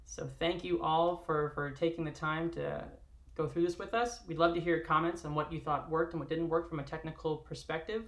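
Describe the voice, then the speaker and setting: A woman's voice: low voice, fairly even intonation, brisk. A woman hosting an online table read, speaking in a casual livestream sign-off style. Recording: echoey livestream room.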